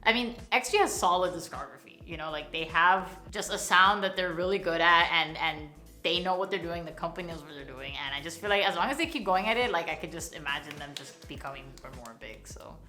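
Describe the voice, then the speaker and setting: A woman's voice: fairly low pitch, expressive, a little fast, hardly any pauses, bright, forceful tone. A woman from the United States chatting casually and slangily as a reaction host, full of emphatic praise. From United States, Miami. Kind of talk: casual music video reaction chat. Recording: roomy echo, faint music behind.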